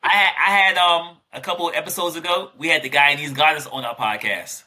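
A man's voice: a little high, fairly even intonation, a little fast, sharp tone. A man co-hosting a podcast, chatting casually and teasing his guest with jokes. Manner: joking, teasing, playful. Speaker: podcast co-host.

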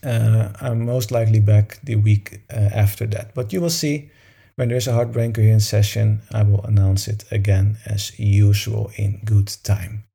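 Husky, dark-voiced man leading meditation. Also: on the low side, steady tone, moderate pace. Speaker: meditation session leader. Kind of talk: guided meditation and informal talk to a group.

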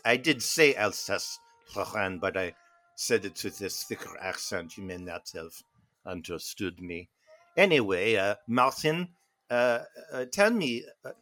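Guy doing a snooty fake french dude, thick put-on accent, sloooow, uh uh uh every few words, kinda lowish voice. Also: bright, forceful tone, full character voice. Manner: halting, constant "uh" fillers, slow and hesitant. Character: pompous fake-French middle-aged gentleman. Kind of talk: improvised comedy bit.

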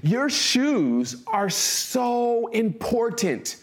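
A man's voice: very high-pitched, big pitch swings, extremely slow. An American man of forty giving a conversational talk, informal and emphatic, repeating phrases and checking in with his listeners. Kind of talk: conversational motivational talk with personal anecdotes.

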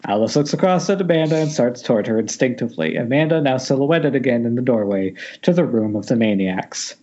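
A man's voice: medium pitch, expressive, a little fast, a little mellow. A man reading stage directions at an informal script table read, with wry delivery, over a lo-fi internet call.

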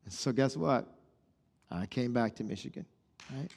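A man's voice: medium pitch, animated, normal speed, gravelly, a little mellow, slightly rough. A man in his forties preaching a sermon, telling his story in a conversational way and acting out the dialogue. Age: 40s. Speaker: pastor. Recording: long hall reverb.

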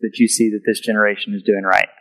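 Low-voiced affirming male interviewer. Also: flat delivery, fast talker, fairly bright tone, rough recording. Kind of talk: casual interview.

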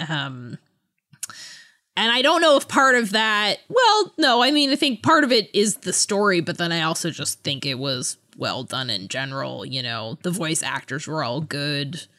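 A woman co-hosting a podcast, chatting casually in a giggly, halting way. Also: low-pitched, sing-song, sharp tone. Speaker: podcast co-host. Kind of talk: casual book review chat.

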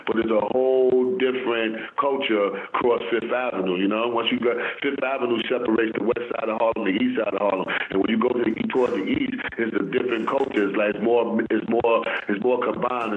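Man on a tinny phone line. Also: lowish voice. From New York City. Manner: rambling, repeats words while searching for them. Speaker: radio DJ and filmmaker, guest. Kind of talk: casual phone interview.

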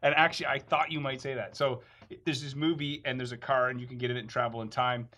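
A man hosting a podcast interview, chatty and joking while firing off quick questions. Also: mid-range voice, steady tone, bright, forceful tone. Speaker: podcast host.

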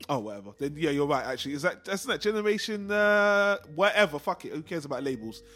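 Male film-show co-host, high register, rapid casual delivery, blunt and opinionated, faint music underneath. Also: big pitch swings, fairly bright tone.

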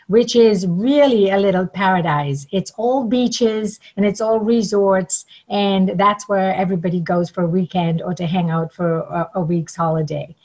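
A woman's voice: medium pitch, normal speed, hardly any pauses, a little mellow, rough recording. A middle-aged woman from Trinidad and Tobago, telling her story conversationally as an interview guest. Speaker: interview guest, networking coach.

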